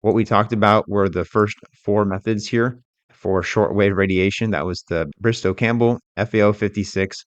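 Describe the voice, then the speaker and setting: A man giving an informal, matter-of-fact software tutorial, explaining step by step as an instructor. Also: on the low side, fairly even intonation, normal speed, dry recording, highs cut off.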